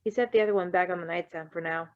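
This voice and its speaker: A woman's voice: fairly low pitch, racing, dry recording. Woman reading a story, dry muffled sound. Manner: playful, breaks off to riff. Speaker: reader of fan fiction. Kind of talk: narrated story reading with casual asides. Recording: dry, slightly muffled recording.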